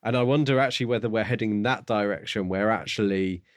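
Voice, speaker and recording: A man's voice: on the low side, quick pace, no echo, studio quality. A man co-hosting a podcast, casually discussing a book and theorising aloud in a tentative, musing way. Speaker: podcast co-host.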